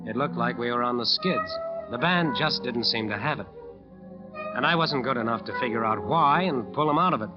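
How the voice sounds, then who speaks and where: A man's voice: medium pitch, close to an everyday voice. A despairing American jazz bandleader played by a male radio actor, slangy and worn down, as if confessing. The sound is a muffled vintage radio drama, with prominent music underneath his voice. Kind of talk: 1940s radio drama acting and narration. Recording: dull old radio recording with music.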